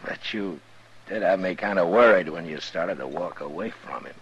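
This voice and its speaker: An actor playing a steady, commanding Old West marshal in a 1950s radio drama, plainspoken and deliberate. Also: male voice, sits low, breathy edge. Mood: calm, reassuring. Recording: muffled, lightly hissy old radio recording.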